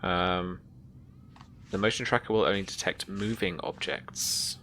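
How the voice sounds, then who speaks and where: A man running a tabletop roleplaying game, narrating scenes and explaining rules in a halting, thinking-aloud way. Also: low-pitched, fairly even intonation, sharp tone. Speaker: game master. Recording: noticeable background noise.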